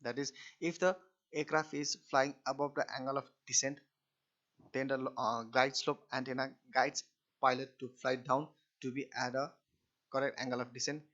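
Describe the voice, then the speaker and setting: A man giving an explanatory technical lecture as a lecturer, in halting, repetitive phrasing with restarts. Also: medium pitch, level tone, fairly bright tone. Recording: dull, lo-fi recording.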